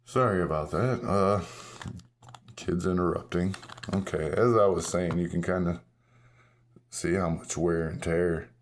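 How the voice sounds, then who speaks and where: Very low, hoarse man vlogging. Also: steady tone, unhurried, long pauses, a little hiss or hum. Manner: rambling, self-correcting, chuckles at himself. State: hoarse, partly lost voice. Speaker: coin-collecting vlogger. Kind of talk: casual show-and-tell vlog.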